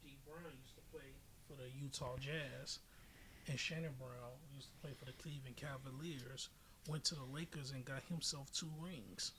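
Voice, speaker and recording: A man's voice: medium pitch, fairly even intonation, normal speed, pushed and bright. An American man from Chicago talking sports casually, explaining his opinions with examples. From Chicago, United States. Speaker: podcast host. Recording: noisy amateur podcast audio.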